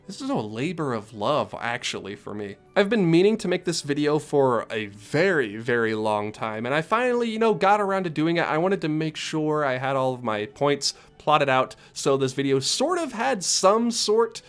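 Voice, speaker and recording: A man's voice: a little high, very animated, moderate pace, hardly any pauses. A man with a head cold, sounding congested and nasal, talking casually through a video essay with rambling jokes and asides. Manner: rambling, joking asides, warm thanks.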